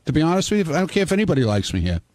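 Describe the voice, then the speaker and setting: Fast-talking, irritated radio staffer man. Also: on the high side, sing-song, a little mellow, slight background noise. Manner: irritated, blunt and profane. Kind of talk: heated on-air banter.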